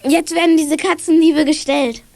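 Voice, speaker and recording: A woman playing a confident, brisk young kid detective in a children's audio drama. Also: high-pitched, steady tone, a little mellow, faint music behind. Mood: determined. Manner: brisk, matter-of-fact, assured. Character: confident young detective kid, eager tracker, chief sleuth.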